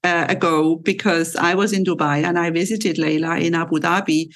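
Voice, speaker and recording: A woman's voice: on the low side, steady tone, normal speed. A woman hosting a podcast, chatting and asking her guest friendly questions. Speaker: podcast host. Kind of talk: conversational interview.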